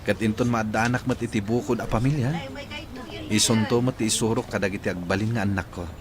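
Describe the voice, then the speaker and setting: An actor playing a devoted, earnest young adult son in a radio drama. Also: male voice, on the low side, fairly even intonation, a little fast, a crowd in the background, close to an everyday voice. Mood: earnest, tender. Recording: noisy ambient background.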